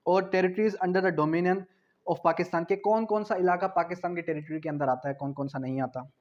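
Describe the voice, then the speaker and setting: A man's voice: sits high, steady tone, a little fast. A man gives an explanatory lecture. He is didactic, repeating key phrases for emphasis and dropping in English terms. The recording is dull and lo-fi. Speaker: law teacher.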